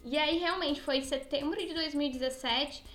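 A young woman co-hosts a casual podcast chat. She explains things at length and keeps checking in with tag questions. Her voice is high, and the recording has noticeable room echo. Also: normal speed, a bit bright, faint music behind. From Brazil. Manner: explanatory, thinks aloud with frequent tags. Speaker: podcast co-host. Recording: echoey room.

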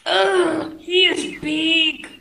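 A woman acting in an audio drama as an eager, excitable apprentice who reacts haltingly to a covert exercise. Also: extremely high pitch, gravelly, full character voice. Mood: straining.

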